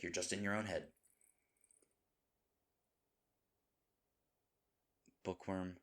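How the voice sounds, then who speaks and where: A man's voice: low-pitched, fairly bright tone, heavily acted. A man playing a lovable, nervous himbo boyfriend in an intimate audio roleplay, earnest and rambling. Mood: nervous, romantic. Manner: earnest, rambling, hesitant, dropping into breathy asides.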